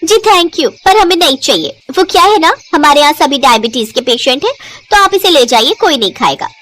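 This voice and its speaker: Woman voicing a high-pitched, snappy young daughter-in-law. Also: animated, fairly quick, fairly bright tone, a little hiss or hum. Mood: curt, sarcastic. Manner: sarcastic, snappy retorts. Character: sharp-tongued, jealous young Indian daughter-in-law.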